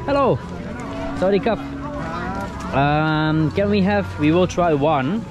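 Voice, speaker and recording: A man's voice: fairly high pitch, animated, slow, lo-fi sound. A young man filming a travel vlog while walking through an outdoor night market, chatting upbeat and laughing often. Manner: chatty, upbeat, laughs often, tries a few local words. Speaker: travel vlogger. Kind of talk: casual vlog chat. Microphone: handheld camera at arm's length. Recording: loud music and crowd at an outdoor market.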